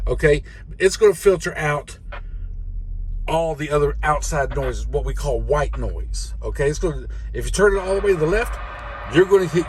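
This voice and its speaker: A man's voice: fairly high pitch, a little hiss or hum, poor audio. A man giving a casual, folksy, hands-on explanation in a rambling way, like a trucker teaching others. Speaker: host, truck driver. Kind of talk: casual instructional video, explaining radio controls.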